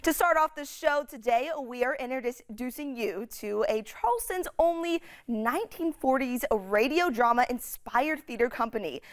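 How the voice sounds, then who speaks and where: A woman's voice: high-pitched, lively intonation, moderate pace, hardly any pauses. A woman hosting an upbeat morning TV interview, gushing and using casual slang, recorded in a studio. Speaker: TV morning show host.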